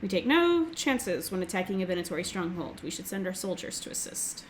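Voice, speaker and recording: Slightly hoarse woman hosting a let's play. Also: fairly low pitch, sing-song, a little hiss or hum. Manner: reads game text aloud quickly, filler-heavy. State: recovering from throat infection, slightly hoarse. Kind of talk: casual gameplay commentary.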